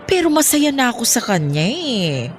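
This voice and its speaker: A middle-aged Filipino mother, acted by a woman in a radio drama, playful yet firm. Her voice is fairly high and swings expressively, with faint music underneath. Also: very animated, normal speed. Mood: firm, pleading.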